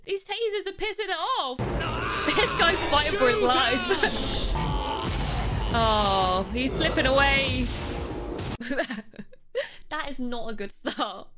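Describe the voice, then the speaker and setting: A woman's voice: sits high, lively intonation, slow, pauses often, loud music behind the voice. Young woman, stuffy and chatty. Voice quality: nasal. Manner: chatty, laughs often. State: stuffy nose from a cold. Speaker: film reaction YouTuber. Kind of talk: casual reaction commentary. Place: home streaming room, indoors. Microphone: desk mic on boom arm, in-ear monitors. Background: film audio and score.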